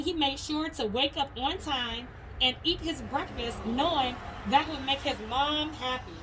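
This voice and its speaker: A woman's voice: high voice, a bit bright, slight background noise, low-quality recording. A woman reading a children's story aloud in the manner of a schoolteacher.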